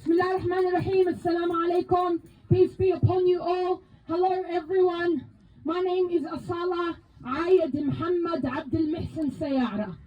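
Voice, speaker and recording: A woman from Palestine, a native Arabic speaker, giving a loud, emphatic, impassioned speech as co-chair at an outdoor protest rally. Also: very high voice, steady tone, normal speed, muffled recording. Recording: outdoor rally, crowd and faint noise.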